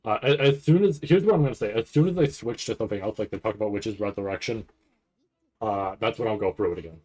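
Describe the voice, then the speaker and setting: A man's voice: fairly low pitch, fast talker, a little mellow. A man streaming casual live reaction commentary, thinking aloud in a rambling, freely swearing way. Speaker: streamer reacting to an anime trailer.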